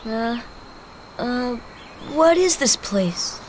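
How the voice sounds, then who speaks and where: A man's voice: very high-pitched, lively intonation, extremely slow, a little hiss or hum, exaggerated voice. An actor playing an earnest, friendly young teenage boy adventurer in a game, hesitant, with an American accent. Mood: puzzled. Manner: hesitant, puzzled. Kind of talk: video game cutscene acting.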